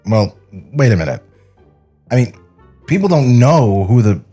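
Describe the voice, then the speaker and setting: A man's voice: mid-range voice, big pitch swings, a little slow, mellow, husky, soft background music. Man playing a stammering, sheepish young studio artist. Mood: awkward, flustered. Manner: stammering, apologetic, hesitant. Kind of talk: comedic script table read.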